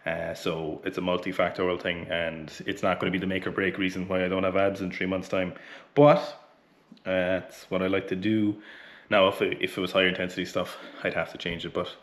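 Blunt Irish man, lower voice. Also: level tone, fairly quick, fairly bright tone. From Ireland. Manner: blunt, chatty, swears casually. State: sweaty between lifts. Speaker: weightlifting coach. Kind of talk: casual training vlog talk. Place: small indoor home gym, warm. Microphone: camera close in front.

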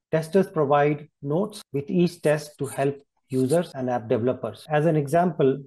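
A man presenting slides in a webinar, explaining things in a measured way. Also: a little high, mellow, rough recording.

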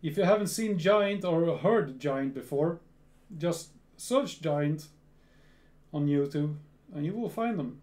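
A man's voice: on the high side, expressive, a little mellow. A man in his thirties from Sweden with a Swedish accent, chatting casually in a reaction video at home and often repeating words. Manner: slow, repeats words, restarts. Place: small home room full of gear.

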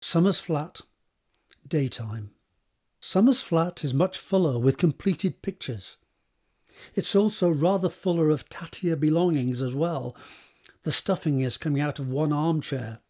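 A man narrates a drama script, setting scenes and describing action. His voice sits a little high for a man and has a husky, unpolished grain. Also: a little slow, dark tone. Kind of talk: scripted narration of stage directions.

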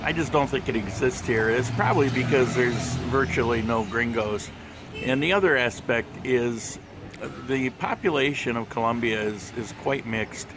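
A man talking through a casual walking travel vlog in a shopping mall, relaxed and chatty with plenty of fillers. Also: mid-range voice, normal speed. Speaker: travel vlogger. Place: outdoor mall terrace and shopping center. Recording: handheld camera with street and mall noise.

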